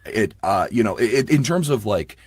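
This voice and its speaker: Deep, husky-voiced man in conversation. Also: lively intonation, moderate pace, no room sound. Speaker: guest, audiobook narrator and producer. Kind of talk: casual interview conversation.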